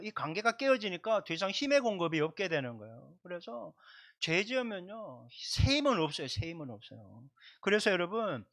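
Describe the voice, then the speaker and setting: A man's voice: high voice, fairly bright tone. A man preaching as a pastor at a Sunday service, speaking emphatically and directly to his congregation. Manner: emphatic, repeats points and addresses the congregation directly. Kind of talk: Sunday sermon with prayer. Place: church service, livestreamed.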